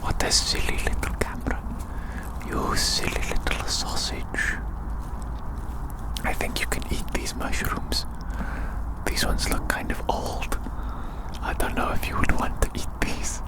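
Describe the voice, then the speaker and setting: An ASMR host speaks entirely in a whisper, taking every phrase slowly. The delivery is soothing and playful, full of cutesy little turns of phrase, and it is recorded outdoors in winter woodland. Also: rough recording. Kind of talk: ASMR whispered roleplay ramble.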